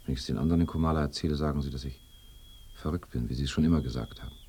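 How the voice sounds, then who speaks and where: An actor voicing the ghost of a lost young horseman in a radio drama, husky, halting and bewildered, drifting into breathy near-whispers. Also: warm tone, heavily acted. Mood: confiding. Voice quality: husky, very low. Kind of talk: binaural radio drama acting.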